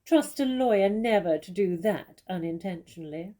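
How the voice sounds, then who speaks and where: Woman playing an arch, mellow, worldly stage diva. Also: medium pitch, lively intonation, moderate pace, lightly acted. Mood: wry, knowing. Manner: arch, condescending, theatrical. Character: worldly, witty, self-assured famous stage actress, gently mocking. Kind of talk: theatrical stage comedy acting.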